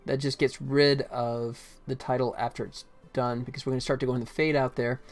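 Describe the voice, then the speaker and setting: A man's voice: mid-range voice, little pitch movement, fairly quick. A man in his forties giving casual live-stream commentary as he works at game development. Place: small indoor room at a computer. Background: faint music, keyboard typing.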